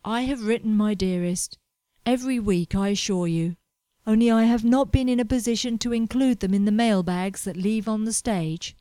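A woman voicing a pompous, melodramatic young man chasing gold, complaining loudly about everything around him. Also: medium pitch, moderate pace, clean tone, no room sound, lightly acted. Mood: fervent. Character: anxious, pompous young male fortune hunter.

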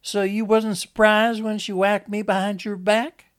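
A man's voice: high voice, little pitch movement, a little slow, pitched above the performer's own voice. A man voicing a wounded, frightened young country woman, pleading and insistent in an audiobook story. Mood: urgent, insistent. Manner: folksy, insistent, urgent.

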